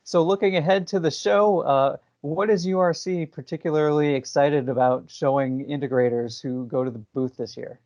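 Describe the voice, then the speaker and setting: A man's voice: a little high, steady tone, moderate pace, few pauses, a little mellow. Male interviewer, dull lo-fi sound. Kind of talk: conversational trade interview questions.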